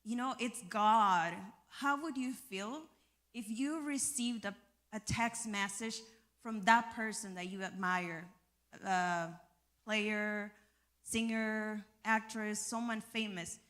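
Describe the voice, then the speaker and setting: A young woman speaks to a gathered group in a mid-range voice, sharing a personal testimony. Her delivery is informal and earnest, with a halting flow as she finds her words. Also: mid-range voice, fairly bright tone. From El Salvador. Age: young adult. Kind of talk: personal testimony to a live audience.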